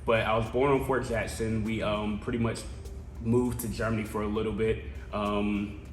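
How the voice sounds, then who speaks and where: Young man from South Carolina, council candidate, earnest and measured with filled pauses; echoey room. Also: fairly low pitch, little pitch movement. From Columbia, South Carolina. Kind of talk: interview answers.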